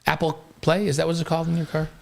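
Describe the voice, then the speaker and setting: Male sports co-host, American accent, slightly high voice, rapid-fire casual chat. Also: level tone, creaky, a little mellow.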